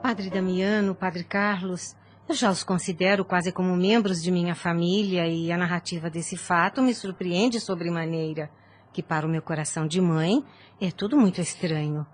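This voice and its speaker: A woman's voice: lowish voice, steady tone, moderate pace, lightly acted. An actress playing a middle-aged widowed mother in an audio drama, formal, dignified and astonished. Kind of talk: audio drama acting of a religious novel.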